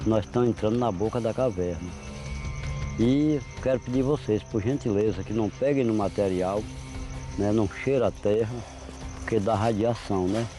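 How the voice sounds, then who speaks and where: A man's voice: lowish voice, moderate pace, music in the background. A man working as a local guide, chatting in a joking, informal way and often tagging his sentences with a questioning "né". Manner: joking, chatty, tags lines with "né". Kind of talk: casual guiding chat. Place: outdoors at night on a hillside.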